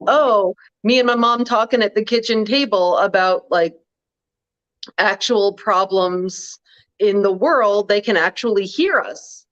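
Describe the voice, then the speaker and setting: A woman with a deep voice co-hosting a casual chat, wry and blunt, full of "like" and "um". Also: measured pace, fairly bright tone, lo-fi sound. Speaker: co-host.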